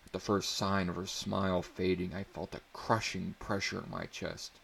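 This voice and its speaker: A man's voice: low voice, flat delivery, normal speed, creaky, a bit bright, a little hiss or hum. A man reading a story aloud as narrator in a dramatic audiobook style.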